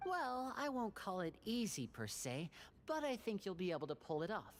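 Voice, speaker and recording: A man's voice: high-pitched, huge pitch swings, normal speed, slight background noise. A man voicing a confident, caring young male strategist in a video game, persuasive and theatrical. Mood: confident, instructive. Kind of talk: video game character acting.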